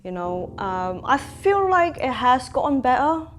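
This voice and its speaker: A woman in her twenties from Hong Kong, talking in a sit-down interview as a makeup artist, pausing often with "um" and laughing between thoughts. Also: sits high, very animated, slight background noise. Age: 20s. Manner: reflective, frequent "um" fillers, laughs between thoughts. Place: bright room indoors, seated in armchair. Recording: music playing clearly behind.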